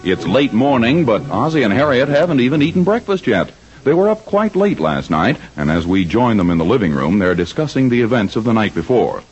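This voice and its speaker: A man's voice: medium pitch, big pitch swings, brisk. A man announcing and narrating a scripted show in 1940s radio style. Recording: dull, noisy old radio recording. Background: music.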